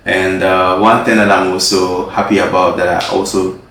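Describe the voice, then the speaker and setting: A man's voice: low voice, level tone, normal speed, fairly bright tone, very echoey, distant mic, a little hiss or hum. A man from Ghana, a guest in a casual interview, talking earnestly and repeating words for emphasis. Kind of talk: casual conversation.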